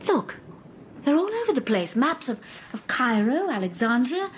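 An actress playing an observant, anxious and affectionate detective's wife in a period radio crime drama, speaking in a genteel way. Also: female voice, high voice, very animated, normal speed, highs cut off, slight background noise. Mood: curious, uneasy.

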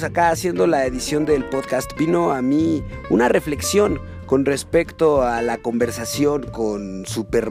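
A man's voice: a little high, gravelly. A man with a Mexican accent hosting a podcast, talking in a laid-back, slangy way. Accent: Mexican Spanish. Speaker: podcast host. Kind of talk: casual podcast chat and solo commentary.

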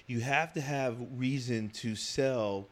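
A man's voice: mid-range voice, takes it slowly. Guy on a podcast, chatty and blunt, keeps repeating words, laughs through his stories. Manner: frank, expansive storyteller who repeats and restarts words. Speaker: interview guest. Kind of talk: casual podcast conversation.

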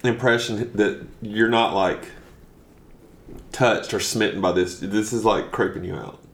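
Joking man in an echoey room. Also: fairly low pitch. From Tennessee. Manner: quick, joking questions. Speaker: podcast guest. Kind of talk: casual chat.

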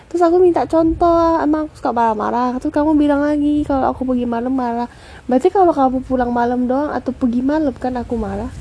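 A woman's voice: high-pitched, fast talker, dark tone, slight background noise. A woman co-hosting a casual couple podcast with her husband, answering him with teasing, dry retorts. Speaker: podcast co-host, wife.

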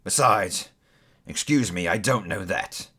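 Man acting grumbling old miser, storybook character, gruff, clipped, cold and dismissive. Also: low voice, expressive, slow, sharp tone, pitched below the performer's own voice, full character voice. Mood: irritated, curt.

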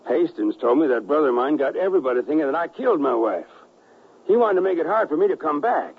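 An actor playing a gruff, stubborn western colonel in a radio drama, with husky, rough frontier speech that halts when he is troubled. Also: fairly high pitch, sing-song, fast. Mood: bitter. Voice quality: gravelly, husky. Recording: dull lo-fi radio recording.

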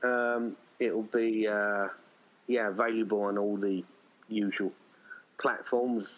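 Low-voiced man, rambling casually. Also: fairly even intonation, takes it slowly, low-quality recording. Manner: rambling, anecdotal. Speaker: writer-director being interviewed. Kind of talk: casual chat.

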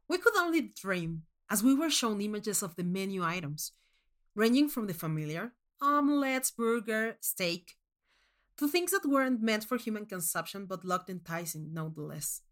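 A woman narrating a first-person short story in an expressive, measured storytelling manner. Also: medium pitch.

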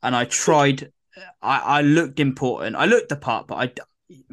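A man co-hosting a podcast in casual chat, his voice a bit croaky, rambling with lots of fillers and restarts. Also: mid-range voice, animated, unhurried, a bit bright. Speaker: podcast co-host.

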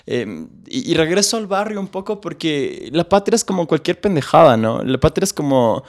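A man's voice: fairly high pitch, very animated, normal speed. A young man from Ecuador with a Quito accent, a guest in a conversational interview, reasoning aloud analytically with frequent hesitant fillers. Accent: Quito Ecuadorian Spanish. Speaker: guest, economist.

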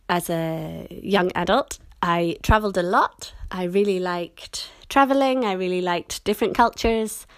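A woman's voice: lowish voice, no room sound. A middle-aged woman, an English teacher from Scotland, speaking standard British English with slight Scottish traces in a chatty, enthusiastic monologue. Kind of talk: casual recorded monologue.

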